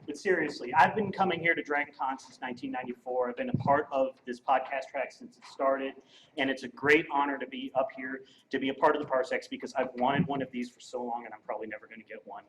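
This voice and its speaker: A man's voice: mid-range voice, steady tone, fast, a bit bright. A man presenting an award live on stage at a convention, speaking into a microphone. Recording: live hall with crowd and faint noise.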